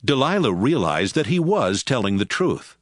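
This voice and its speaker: A man's voice: mid-range voice, sing-song, moderate pace, no room sound, studio quality. A man giving scripted narration for a Bible audio drama.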